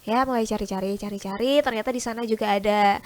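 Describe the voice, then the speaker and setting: A woman's voice: mid-range voice, steady tone, quick pace. A young woman in her twenties from Yogyakarta, a guest on a podcast, chatting playfully and casually about her studies. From Yogyakarta, Indonesia. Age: 20s. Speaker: guest, engineering master's student.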